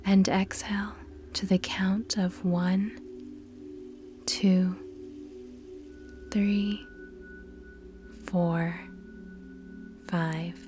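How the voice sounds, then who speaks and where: Very slow, soft-whispering woman guiding meditation. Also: mid-range voice, flat delivery. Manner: measured, instructional, with long pauses. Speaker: meditation guide. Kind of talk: scripted guided meditation narration. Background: background music.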